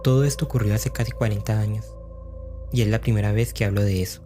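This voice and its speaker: A man voicing an older gentleman who patiently and gravely recounts a grim memory in an audio story. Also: on the low side, natural voice.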